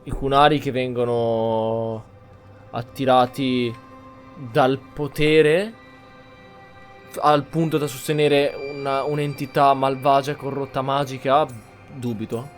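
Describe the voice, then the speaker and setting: Italian guy doing chill roleplay game commentary, mid voice, thinks out loud, bit of music behind. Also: medium pitch, little pitch movement, unhurried. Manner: reflective, thinking aloud. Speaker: gaming channel host, player.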